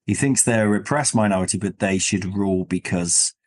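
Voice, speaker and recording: A man with a fairly low voice hosts a casual chat show. He rambles and thinks aloud, often checking his own points with questions. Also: brisk. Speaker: podcast host. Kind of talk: casual comic-book discussion.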